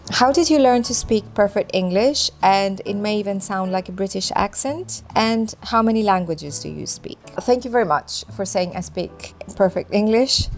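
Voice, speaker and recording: A woman in her fifties, a native Greek speaker, answering viewer questions in a gentle, chatty, reflective way. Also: on the low side, slight background noise. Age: 50s. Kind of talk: casual Q&A chat.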